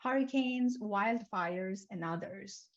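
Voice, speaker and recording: A young woman from Nepal giving an academic seminar talk, with a measured, list-like delivery and frequent fillers. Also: medium pitch. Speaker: seminar speaker, climate researcher. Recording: slightly dull lo-fi lecture audio.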